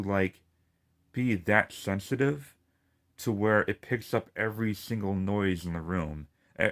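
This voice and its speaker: A man's voice: on the low side, fairly bright tone. A man with a lisp ranting casually and in frustration to his video audience, rambling and swearing as he talks. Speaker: gaming video creator.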